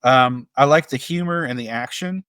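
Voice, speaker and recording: A man co-hosting a casual film discussion, speaking in a measured, appreciative and somewhat halting way. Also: medium pitch, fairly bright tone, clear, resonant tone, no echo, studio quality. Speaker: podcast co-host.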